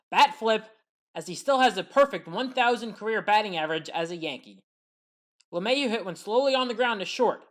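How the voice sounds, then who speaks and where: Woman narrating a sports highlights recap. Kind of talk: scripted play-by-play recap narration.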